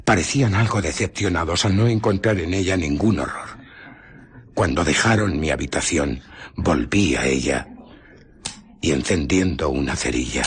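An embittered, furtive scientist in a radio play. His voice is low and very husky, swinging widely and dramatically, often sinking toward a hush as he recounts his escape. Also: exaggerated voice. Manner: dramatic, hushed and theatrical, dropping to whispers.

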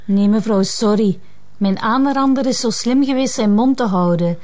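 A woman's voice: fairly high pitch, normal speed, a little mellow, natural voice. An actress playing a composed, outspoken teenage girl with a Flemish accent in a naturalistic TV drama. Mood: calm, defiant.

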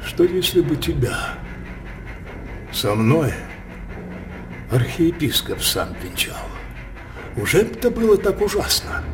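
An actor playing a brooding older king obsessed with his daughter, declaiming theatrical verse in a halting, impassioned manner for a radio play. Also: a little high, sing-song, heavily acted. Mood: insinuating, persuasive.

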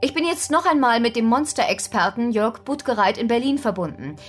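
A composed female news anchor presents a radio drama broadcast. Her voice is fairly high with lively intonation, over faint music. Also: fairly quick, slightly rough. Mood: composed, inquiring.

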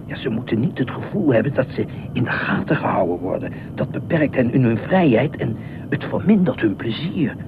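Through a thin, phone-like recording with clear noise comes a man acting an eccentric middle-aged beach watchman in a radio play. He is pompous and officious, rambling on with self-importance and excitable indignation. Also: mid-range voice, normal speed, close to an everyday voice. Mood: confiding, self-important. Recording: noisy, tinny phone-like radio drama recording.

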